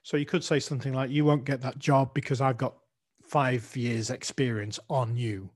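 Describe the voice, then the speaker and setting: A man hosting an English lesson podcast, speaking at an ordinary middle pitch. He explains phrases patiently and spells out words letter by letter for his listeners. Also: level tone, moderate pace. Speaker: podcast host, English teacher. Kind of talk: language lesson podcast chat.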